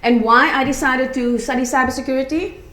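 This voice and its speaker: A woman's voice: high voice, fairly even intonation, a little fast, a bit bright. A woman in her forties with a South African accent, giving an earnest conference talk with frequent fillers and restarts. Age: 40s. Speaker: conference speaker. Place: conference room. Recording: echoey conference room.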